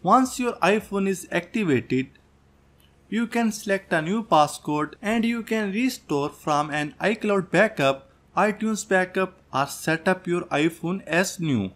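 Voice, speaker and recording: A man's voice: sits high, animated, measured pace, fairly bright tone. A man presenting a tech tutorial as a scripted voice-over, going through instructions step by step. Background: background music.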